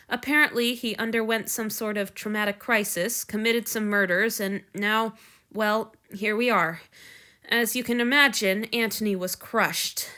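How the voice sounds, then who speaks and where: A woman's voice: medium pitch, bright, forceful tone, natural voice. A woman voicing a stern, fierce noblewoman. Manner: firm, scolding, snarling when angry.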